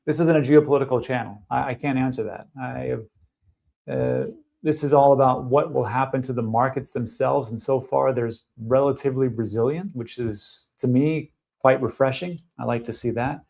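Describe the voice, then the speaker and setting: A man's voice: medium pitch, steady tone, normal speed, vocal fry, a little mellow. A man hosting a live stream about crypto markets, talking casually and thinking aloud with fillers.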